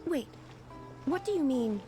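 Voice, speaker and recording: A woman's voice: sits high, sing-song, measured pace, music in the background. A woman playing an aloof, ancient ruler in a video game, formal and composed yet curious. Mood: composed, inquisitive. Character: regal, aloof, ancient female ruler, curious about everyday life.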